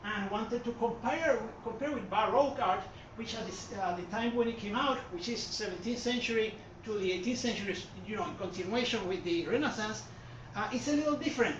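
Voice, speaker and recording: Man, Puerto Rican Spanish accent, casual gallery lecture, rambling with fillers, distant mic, boomy room, muffled and noisy recording. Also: fairly quick, hardly any pauses. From Puerto Rico. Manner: rambling, self-correcting, with many fillers. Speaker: art history presenter. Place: art gallery hall with an audience. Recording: far-off echoey room mic, dull and noisy.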